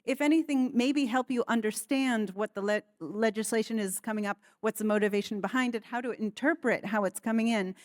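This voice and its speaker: American woman on a panel, calm and clear, politely disagreeing, dry sound. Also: a little high, dry recording. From United States. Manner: measured, articulate, politely challenging. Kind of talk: panel discussion.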